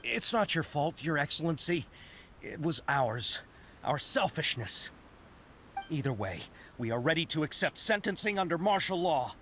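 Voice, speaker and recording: A man's voice: on the high side, sing-song, moderate pace, fairly bright tone, a little hiss or hum. A man voicing an earnest, loyal young male soldier who speaks deferentially to his commander. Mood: remorseful. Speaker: game character. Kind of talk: video game voice acting. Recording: game audio with faint music.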